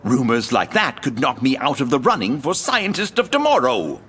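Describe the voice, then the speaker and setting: A proud, suspicious male inventor in an audio drama. His delivery is theatrical and insinuating, swooping widely in pitch. The voice has a slightly rough, husky edge. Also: big pitch swings, normal speed, a bit bright. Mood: indignant.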